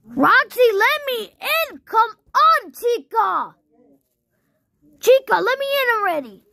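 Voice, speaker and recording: A high-voiced woman acts out a homemade horror skit with toys. She narrates and speaks for the characters herself, exclaiming in a dramatic, childlike way. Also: big pitch swings, takes it slowly, gravelly, pushed and bright, dry recording, clean studio sound. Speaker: narrator and puppeteer of a plush-toy horror skit. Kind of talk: improvised toy role-play.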